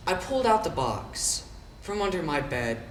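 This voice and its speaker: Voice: mid-range voice, sing-song, normal speed, recorded from a distance, lightly acted. A performer playing a downcast, self-deprecating boy telling his story in a reflective theatrical monologue with swells of emphasis. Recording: echoey room from afar, faint noise.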